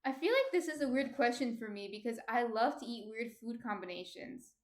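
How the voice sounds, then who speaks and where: A soft-spoken woman in her thirties with a New Jersey accent, casually chatting and answering viewer comments on her stream. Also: a little high, expressive, a little fast. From New Jersey, USA.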